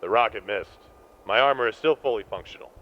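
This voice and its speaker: A man playing a proud, zealous, commanding armored soldier in an audio drama, gravelly, with slightly dull sound. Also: lowish voice, quick pace, natural voice. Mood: grim resolve. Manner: forceful, commanding, militant. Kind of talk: post-apocalyptic audio drama acting.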